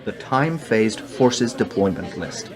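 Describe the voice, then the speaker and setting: A man's voice: fairly low pitch, animated, unhurried, crowd noise. An actor playing a blunt, argumentative presidential adviser, a family man with strong convictions, in a radio play. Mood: challenging. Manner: earnest, argumentative.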